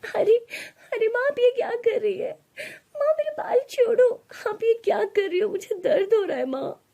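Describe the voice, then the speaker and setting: Woman playing a meek, pleading young girl, very high. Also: animated, moderate pace, gravelly, warm tone, full character voice. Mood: pained pleading. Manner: pleading, plaintive. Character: meek, wronged young stepdaughter, pleading and dutiful.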